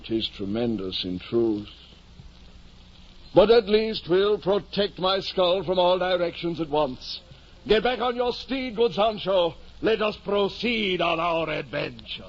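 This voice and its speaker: An actor playing a grandiose, deluded ageing knight-errant in an old radio drama, speaking in a pompous, archaic, declamatory way. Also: male voice, high voice, big pitch swings, slow pace, full character voice. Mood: resolute, eager. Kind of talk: 1950 old time radio drama acting. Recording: muffled noisy 1950s radio recording.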